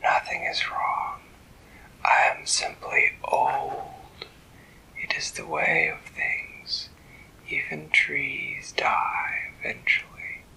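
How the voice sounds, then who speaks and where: An ancient tree spirit, old and fading, speaks in a slow, solemn hush, as a man reading a bedtime story puts it on. The whisper is breathy and drawn out, with a gravelly edge and a bright, airy top. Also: mid-range voice, huge pitch swings, full character voice, poor audio.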